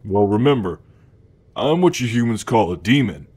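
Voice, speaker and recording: A man's voice: fairly low pitch, lively intonation, moderate pace, darkish tone, husky. A man playing a cocky, food-obsessed male demon in an ASMR roleplay, talking to the listener in a casual, hesitant slacker drawl. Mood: playful boasting.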